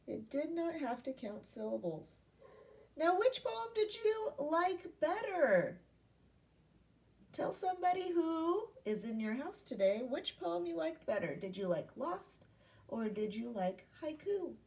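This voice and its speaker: Slow-talking woman teaching young children, distant mic. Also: sits high, sing-song, gravelly, a little mellow. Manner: instructional, asks questions and repeats key points. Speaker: primary school teacher. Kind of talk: interactive read-aloud lesson for young children.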